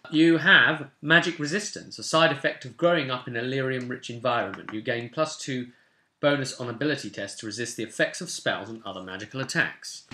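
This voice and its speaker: A man's voice: mid-range voice, bright, forceful tone, a little hiss or hum. A man in his twenties casually talking through tabletop game rules and reading parts aloud, recorded at a desk in a small room at home. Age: 20s. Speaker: tabletop roleplay channel host.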